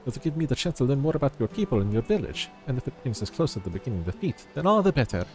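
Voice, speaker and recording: A man's voice: medium pitch, sing-song, quick pace, darkish tone. A man streaming a video game, reading its dialogue aloud and chatting casually, sometimes stumbling over the words. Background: game music.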